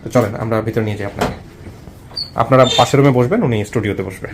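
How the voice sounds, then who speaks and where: Male interview host, Bangladeshi accent, rapid delivery, repeats answers and probes, some background room noise. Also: medium pitch. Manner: repeats answers back and asks short probing questions. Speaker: host of a family reunion show. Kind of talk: conversational interviewing.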